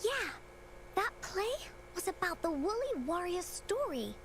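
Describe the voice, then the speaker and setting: A woman's voice: extremely high pitch, very animated, a little slow, fairly bright tone, a little hiss or hum, heavily acted. A woman voicing an earnest, adventurous young girl who tells stories in a childlike way, as a video game character. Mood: proud, playful. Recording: game dialogue over background music.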